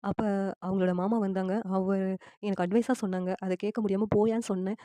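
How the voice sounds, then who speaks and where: An unyielding young bride in a family drama story, voiced by a woman storyteller. She talks quickly and bluntly, with a mellow tone and dry sound. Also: mid-range voice, steady tone, no room sound, close to an everyday voice. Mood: explaining. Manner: blunt, argumentative. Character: defiant young new bride, outspoken, unyielding.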